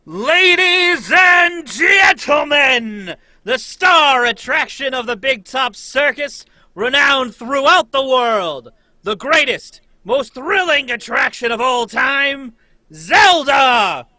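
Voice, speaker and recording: A man playing a circus ringmaster, shouting his grand announcements in a 1940s-style radio drama read. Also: very high voice, animated, slow, dry recording, exaggerated voice. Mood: grandiose. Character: booming male circus ringmaster.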